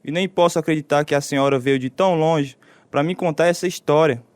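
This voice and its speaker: A man's voice: a little high, fairly quick, clear, resonant tone, dry recording, natural voice. A man playing a stern, authoritative prison commander in a radio drama. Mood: incredulous. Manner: authoritative, blunt.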